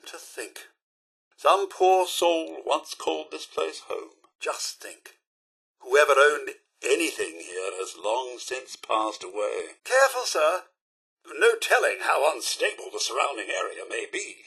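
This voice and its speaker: A loyal, fussy robot butler from a video game. The voice is British and posh, bright and forceful, with a slightly rough, husky edge. The delivery is theatrical and very animated, full of wry, courteous disapproval. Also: male voice, a little high, heavily acted. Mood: wry observing, then polite, mildly anxious. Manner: posh, theatrical, politely sardonic. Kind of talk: video game companion voice lines.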